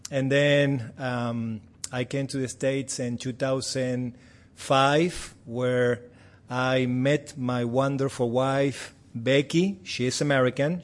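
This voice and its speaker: Argentine guy, spanish first language, missionary chatting to a church, easygoing and jokey, mid pitch. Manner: friendly, chatty, self-deprecating humor.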